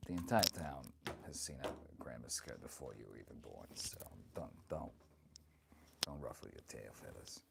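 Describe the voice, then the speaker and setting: A man's voice: very deep voice, big pitch swings, vocal fry, very noisy, heavily acted. A man playing a bawdy, doting grandmother who rambles and fusses in improvised comic chat with stream viewers. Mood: indignant, bawdy. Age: older. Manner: rambling, halting, fussing, calls listeners honey and dear. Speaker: live streamer in character.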